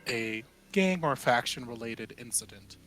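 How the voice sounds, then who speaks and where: Mid-pitched man playing a tabletop game. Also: expressive, measured pace, fairly bright tone, faint music behind. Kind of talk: casual in-game discussion, describing character's actions.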